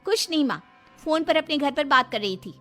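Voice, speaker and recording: A woman's voice: high voice, lively intonation, normal speed, sharp tone, faint music behind, pitched above the performer's own voice. Woman doing a haughty young daughter-in-law. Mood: offhand. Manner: haughty, scornful. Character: proud, scheming educated young wife.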